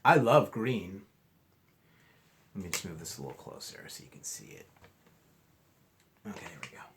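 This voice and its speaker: A man reading a storybook aloud to children like a teacher, expressively, pausing to ask questions and explain. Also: sits low, big pitch swings, gravelly, a bit bright, a little hiss or hum. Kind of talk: interactive storybook read-aloud.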